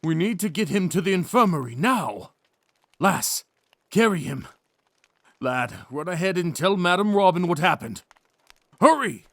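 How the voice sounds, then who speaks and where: A man's voice: sits high, lively intonation, a little slow, pauses often, fairly bright tone, dry recording, full character voice. A man playing a loyal, urgent retainer in an audio drama, speaking in a formal, old-fashioned way. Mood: urgent, commanding.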